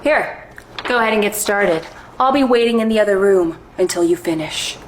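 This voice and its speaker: A woman's voice: mid-range voice, lively intonation, moderate pace, background noise, natural voice. A woman playing a sarcastic scolding cat owner. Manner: sarcastic, lecturing, overdramatic. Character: stern woman scolding her runaway pet cat.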